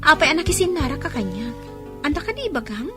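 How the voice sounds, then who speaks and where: A woman acting an earnest, soft-hearted young student in a radio drama, with emotional delivery. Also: sits high, big pitch swings, fast, over music. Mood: troubled. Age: young adult.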